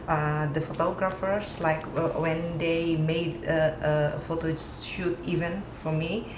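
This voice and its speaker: A young woman with an Indonesian accent talks in a loose, upbeat way and keeps restarting her phrases. Her voice sits a little low for a woman. The sound is roomy and echoey, with clear background noise. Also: fairly even intonation. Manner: chatty, upbeat, halting restarts. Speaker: interview guest, fashion model. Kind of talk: casual interview chat. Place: apartment with city view.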